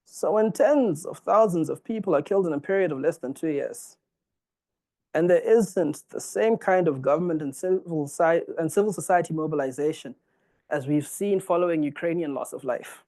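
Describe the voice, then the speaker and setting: A man from Zimbabwe in his forties giving a formal public lecture, reading from a text in a measured, earnest way. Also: fairly high pitch, darkish tone.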